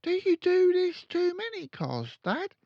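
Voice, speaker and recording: A man's voice: huge pitch swings, unhurried, darkish tone, dry recording, highs cut off, exaggerated voice. A man voicing an eager, wide-eyed young boy in a children's storybook, with a questioning manner. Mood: eager, curious. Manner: high, questioning. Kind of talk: children's storybook read aloud.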